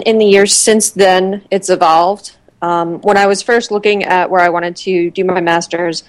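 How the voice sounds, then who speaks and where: Chatty low-voiced woman, dry sound. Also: dry recording. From Connecticut, USA. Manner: chatty, many fillers and restarts. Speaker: guest. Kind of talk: casual interview chat.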